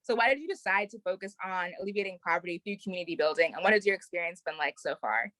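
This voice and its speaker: A woman's voice: lowish voice, animated, fast talker, a bit bright, dry recording, low-quality recording. A woman interviewer and host delivering prepared introductions and questions.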